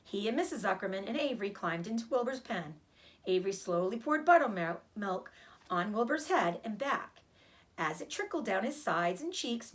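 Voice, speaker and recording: A woman narrating a children's storybook aloud in an expressive, animated way, like a teacher reading to a class. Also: medium pitch. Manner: animated, acts out dialogue.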